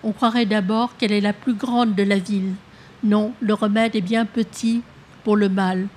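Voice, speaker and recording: A woman writer whose first language is Vietnamese, reading her text aloud and then answering questions in a soft, hesitant way with many halting restarts. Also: mid-range voice, fairly even intonation, a little hiss or hum. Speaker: author. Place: public talk with an audience.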